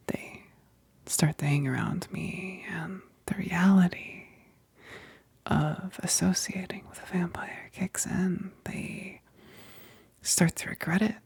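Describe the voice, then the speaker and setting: Breathy, whispering vampire woman played by an actress. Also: lowish voice, a little mellow, dry recording, full character voice. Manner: slow, lingering, teasing. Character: hungry, seductive female vampire, a possessive but restrained best friend. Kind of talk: intimate ASMR roleplay. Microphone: close, dry microphone.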